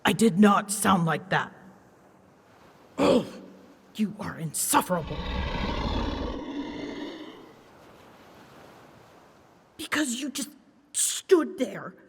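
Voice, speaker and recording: A woman voicing an ancient, huge female dragon in a scripted roleplay drama, haughty and easily flustered, scolding her rider in formal, measured speech with hidden fondness. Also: medium pitch, big pitch swings, exaggerated voice. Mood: indignant scolding. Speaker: voice actor. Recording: long reverb, clear background noise.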